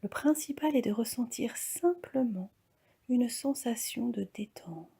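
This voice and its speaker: Slow, breathy woman guiding relaxation. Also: fairly high pitch. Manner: calm, soft, breathy, often near-whispered delivery. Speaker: yoga nidra instructor. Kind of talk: guided relaxation meditation.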